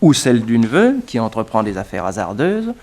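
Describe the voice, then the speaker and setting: A man's voice: on the low side, wildly swooping pitch, brisk, darkish tone. A man playing a skeptical, talkative detective's sidekick in a 1960s French radio mystery, with wry conversational delivery. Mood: puzzled, wry.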